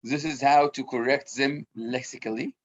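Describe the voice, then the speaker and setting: A man from Egypt with an Egyptian accent, teaching an English class and halting often, repeating his words. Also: mid-range voice, fairly even intonation, dull audio.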